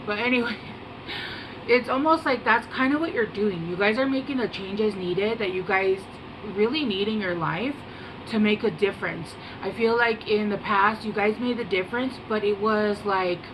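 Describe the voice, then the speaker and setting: Soft-spoken woman over an air-conditioner hum. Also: mid-range voice. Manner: soft-spoken, meandering, hesitant with many fillers. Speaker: tarot reader. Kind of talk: casual rambling tarot reading to camera.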